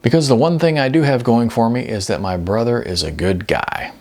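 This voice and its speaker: A man's voice: medium pitch, fairly quick, a little mellow, lightly acted. A man voicing a laid-back, world-weary older man in an audiobook, laconic and easygoing with some hesitation. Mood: dry. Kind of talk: audiobook character dialogue.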